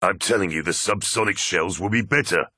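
A man's voice: low-pitched, expressive, normal speed, pushed and bright, slightly rough, dry recording, exaggerated voice. A man voicing a solemn, practical soldier in an audiobook, arguing his point in an insistent, reasoning manner. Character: solemn, argumentative male soldier, practical and stern.

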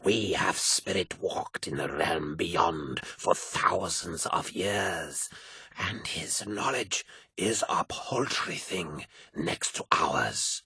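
A man doing a raspy, hushed old priestess. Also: expressive, no room sound, heavily acted. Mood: cryptic. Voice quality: breathy, raspy hush. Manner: slow, low and cryptic. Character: old female cult priestess, cryptic and menacing. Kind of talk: audiobook character voice.

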